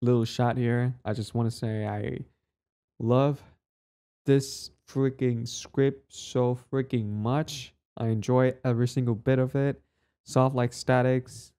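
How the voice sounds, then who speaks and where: A man's voice: mid-range voice, steady tone, slow, warm tone, smooth. A man who makes audio roleplays, talking casually to his listeners in an outro.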